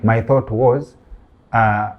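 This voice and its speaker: A man's voice: lowish voice, fairly even intonation, very slow, highs cut off, slight background noise. A man hosting a podcast interview, asking probing questions and pressing his points. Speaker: podcast host.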